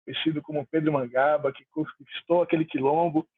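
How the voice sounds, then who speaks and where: A man's voice: fairly high pitch, steady tone, a little fast, husky, no echo, phone-quality sound. A man from Salvador, a music producer talking in an online panel, heartfelt and rambling with many fillers. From Salvador, Bahia, Brazil. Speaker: music producer, debate guest.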